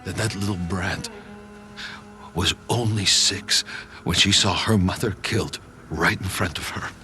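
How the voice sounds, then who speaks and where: An actor playing a weary, gruff older mechanic and surrogate father in a video game, plain-spoken like someone telling his story. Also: deep voice, a little slow, music in the background. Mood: weary, reflective. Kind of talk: video game cutscene acting.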